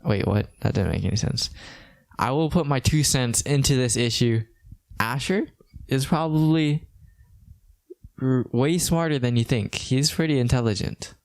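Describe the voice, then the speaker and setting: Teen guy from hawaii hosting a podcast, kinda monotone, rambles and restarts a lot, smooth clear voice. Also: mid-range voice, normal speed. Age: late teens. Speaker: podcast host. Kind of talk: casual podcast chat.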